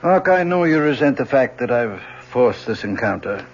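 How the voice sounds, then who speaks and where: An actor playing an earnest, principled professor and protective father in a 1970s radio drama, speaking in formal, measured phrases. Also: mid-range voice, sing-song, slight background noise. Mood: firm, defensive. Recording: dull vintage radio recording.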